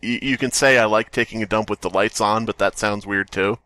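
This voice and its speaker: A man co-hosting a comedy podcast, tossing out quick puns and quips in casual banter over an online call. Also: lowish voice, fairly even intonation, sharp tone, no echo. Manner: quippy and punning. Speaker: podcast co-host. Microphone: online call mic.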